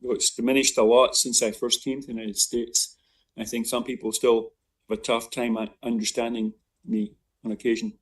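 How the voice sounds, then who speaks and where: A man's voice: mid-range voice, flat delivery. An older man with a Scottish accent giving an informal academic lecture, often breaking off and repeating words as he goes. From Scotland. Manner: halting, with frequent self-interruptions and repeated words. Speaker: visiting professor giving a seminar. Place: remote online seminar. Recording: distant mic on online seminar.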